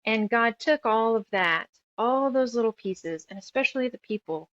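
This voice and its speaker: A woman's voice: on the high side, expressive, normal speed, no echo, lo-fi sound. A woman in her thirties telling her story casually as an interview guest, rambling and emphatic, piling up lists as she goes. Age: 30s. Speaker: guest author and musician.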